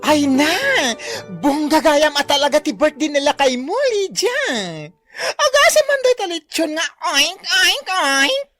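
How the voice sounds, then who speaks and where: A woman playing an excitable, gossipy village woman in an Ilocano comedy radio drama, exclaiming theatrically in an Ilocano accent. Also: sits high, measured pace, soft background music. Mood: excited, impressed.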